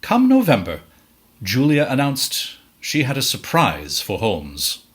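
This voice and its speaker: A man playing the narrator of a dark period tale, in formal, dramatic scripted storytelling. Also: medium pitch, huge pitch swings, takes it slowly. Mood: measured. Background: musical accompaniment.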